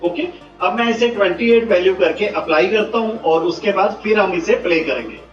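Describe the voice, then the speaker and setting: A man giving step-by-step software instructions, mixing in English technical words. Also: high voice, animated, fairly quick. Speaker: software tutorial presenter. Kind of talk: step-by-step screen tutorial. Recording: muffled, roomy, distant mic, faint music.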